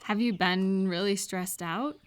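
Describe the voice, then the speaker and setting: A woman acting a caring, cheerful friend in a scripted roleplay audio, talking gently and attentively to the listener. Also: medium pitch, slight background noise, natural voice. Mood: gentle, concerned. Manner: gentle, unhurried, attentive questioning with pauses for replies.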